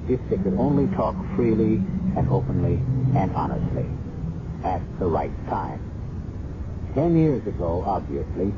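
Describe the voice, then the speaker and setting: A muffled, noisy 1970s radio broadcast. A male host with a lowish voice narrates dramatically, his pitch rising and falling widely as he delivers measured, solemn, theatrical lines.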